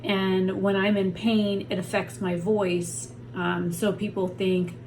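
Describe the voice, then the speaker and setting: A woman's voice: mid-range voice, little pitch movement, slow, noisy background. A woman vlogger talking casually and frankly to the camera from home while in pain, rambling about her day. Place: at home on a heating pad.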